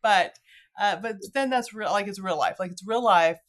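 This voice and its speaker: A woman's voice: medium pitch, moderate pace. A middle-aged woman hosting a podcast in a casual, chatty way, informally acting out what people might say. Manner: chatty, informal, acts out imagined remarks. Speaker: podcast host. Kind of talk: casual chat.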